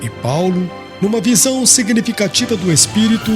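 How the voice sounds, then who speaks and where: A man's voice: high-pitched, animated, a little fast, over music. A man narrating an audiobook in a solemn, literary style.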